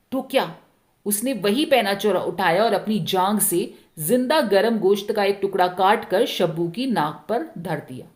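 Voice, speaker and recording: A woman narrating a short story expressively from a script, as a storyteller. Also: medium pitch.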